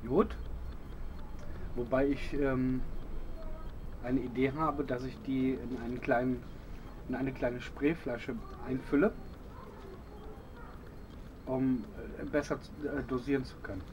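A middle-aged man talks slowly and haltingly as he walks through his gear on an outdoor patio. His voice sits at an ordinary pitch. Clear outdoor noise and birdsong run behind him on the camera mic. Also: highs cut off. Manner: relaxed, hesitant, many fillers. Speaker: bushcraft video host. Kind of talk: casual show-and-tell.

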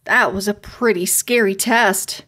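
A woman's voice: medium pitch, fairly even intonation, a little slow, pushed and bright. A woman voicing a brave, earnest young boy hero in a children's fantasy story.

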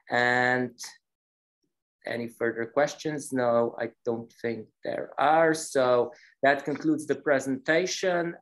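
Flat-toned male presenter, halting delivery. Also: mid-range voice. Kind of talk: slide presentation at an online meeting. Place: meeting room, on a video call.

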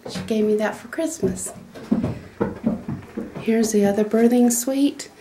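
A woman's voice: on the high side, takes it slowly, background noise. A middle-aged woman, giving a rambling, homespun tour of her small wooden goat barn with lots of fillers and restarts. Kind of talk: casual walkthrough narration. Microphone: handheld phone camera.

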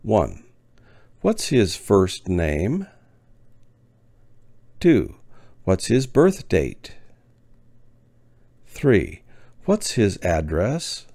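Brief: deep gravelly male teacher, slow and deliberate, lively pitch. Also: no echo. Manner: spaced-out words with long pauses, clear enunciation. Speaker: ESL teacher. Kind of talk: slow, deliberate lesson reading.

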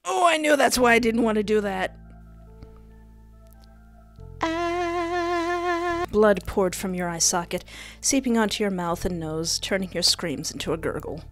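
A woman's voice: medium pitch, expressive, measured pace, pauses often. A young adult woman hosting a gaming video, switching between reading text aloud and casual commentary on a close mic. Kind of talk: let's play commentary with dramatic read-aloud narration. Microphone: close desk microphone with headset. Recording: music behind.

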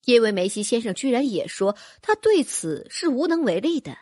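A woman narrating a fantasy audiobook with a lively, expressive reading. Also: on the high side, normal speed, no echo, clean studio sound. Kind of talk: scripted narration.

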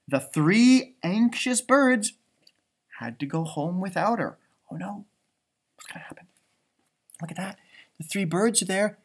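Male read-aloud storyteller, 30s. Expressive, dramatic, widely swooping pitch for young listeners. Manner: expressive, dramatic delivery for children. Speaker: elementary teacher reading aloud. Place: indoor library room with bookshelves.

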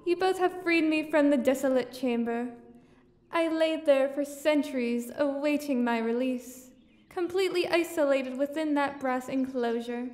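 A woman's voice: high-pitched, normal speed, darkish tone, lightly acted. A woman playing a haughty, cordial, centuries-old genie in an audio drama, speaking formally and grandly. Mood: formal, imperious. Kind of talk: audio theater acting. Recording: roomy, echoing voice.

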